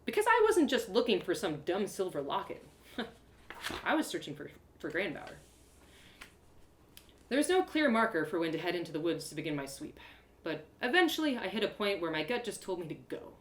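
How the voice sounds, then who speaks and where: A woman narrating a first-person adventure story in an expressive, theatrical way with dramatic pauses. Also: on the low side, echoey room. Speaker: storyteller performing own one-woman audio drama.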